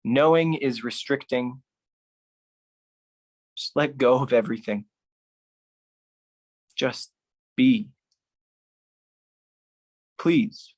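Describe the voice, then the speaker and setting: A man performing his own writing as a spoken-word solo artist, speaking deliberately with pauses. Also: medium pitch. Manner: slow, deliberate delivery with pauses.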